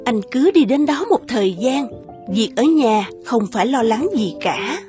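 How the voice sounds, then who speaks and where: A gentle, high-voiced young wife. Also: female voice, expressive, a little slow, music in the background. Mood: tender, wistful. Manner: gentle, soothing. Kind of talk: animated fairy-tale dubbing.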